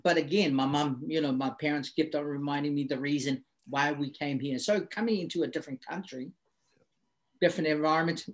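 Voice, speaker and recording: A middle-aged man from Samoa speaks in an interview, with English as his second language. His voice sits a little high for a man, and he comes back to his points again and again, in a reflective, earnest way. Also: fairly quick. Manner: reflective, repeats phrases for emphasis. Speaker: guest.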